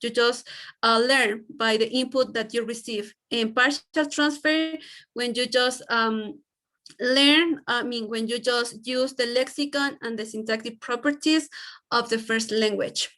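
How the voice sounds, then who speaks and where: A woman's voice: fairly high pitch, a little slow, fairly bright tone. A woman whose first language is Spanish, giving a class presentation in English with many fillers and restarts. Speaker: student presenter.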